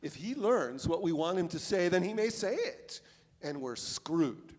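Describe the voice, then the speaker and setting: A man in a live play reading, acting a practical sleuth who coaches a partner in a casual, measured way. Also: sits high, very animated, a little mellow, full character voice. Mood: wry, insistent. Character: practical, coaxing amateur sleuth named Chef. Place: theatre stage. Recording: reverberant theatre hall recording.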